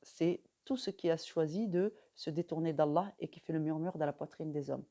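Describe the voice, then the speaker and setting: Calm French-speaking woman teaching. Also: low voice. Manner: calm, measured, pausing often with fillers. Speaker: religious teacher. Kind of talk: instructional talk to camera.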